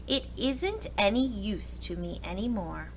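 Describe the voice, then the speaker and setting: A woman voicing a weary, kindly, fretful uncle in a storybook, speaking earnestly and deliberately. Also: medium pitch, expressive, a little hiss or hum, poor audio. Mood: resigned. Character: weary, kindly, fretful uncle attached to his old hat.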